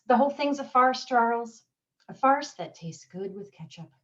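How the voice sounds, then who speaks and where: A woman acting out a stage monologue as a desperate wife clinging to her husband, with deadpan wit and nervous laughs. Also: on the high side, normal speed, lo-fi sound, natural voice. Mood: wry. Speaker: community theater actress.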